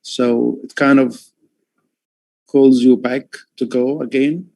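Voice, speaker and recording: A man from Egypt, an artist, talking about his work on a livestream call, often pausing with fillers and restarting his sentences. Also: mid-range voice, little pitch movement, vocal fry, mellow, dry recording, rough recording. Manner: hesitant, many fillers and restarts. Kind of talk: livestream talk. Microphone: headphones on a call.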